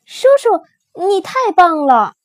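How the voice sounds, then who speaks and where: A woman voicing a tearful, sweet little boy spinosaurus in a children's storybook, with a high squealing childlike voice. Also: measured pace, darkish tone, no room sound. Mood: delighted.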